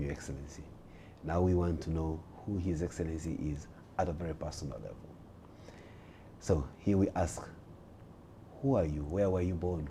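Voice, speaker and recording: A male talk show host asking lively, probing questions in a playful, theatrical manner. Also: deep voice, a little hiss or hum.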